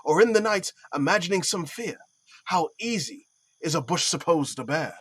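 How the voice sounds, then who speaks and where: A man playing a stately, sceptical Athenian duke in a Shakespearean audio play, speaking in a measured, regal, declamatory way. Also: a little high, lively intonation, a bit bright, husky. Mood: sceptical, musing.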